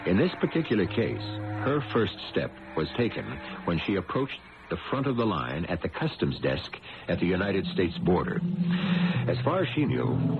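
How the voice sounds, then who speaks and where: A man hosting an old-time radio mystery, narrating scripted lines with wry, theatrical, ornate phrasing. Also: low voice. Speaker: host and narrator. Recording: dull old radio recording. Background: prominent music.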